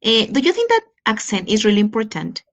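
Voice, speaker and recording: A woman's voice: on the high side, huge pitch swings, a little fast, highs cut off. A woman hosting an interview, agreeing with "yeah" and asking short questions. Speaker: interviewer, host. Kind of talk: casual interview talk.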